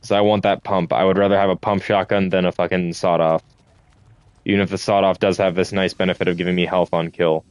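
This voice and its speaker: A man's voice: deep voice, little pitch movement, quick pace, clear, resonant tone, dry recording. A man giving casual gameplay commentary, thinking aloud and muttering to himself with the odd swear word. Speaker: gamer recording a playthrough.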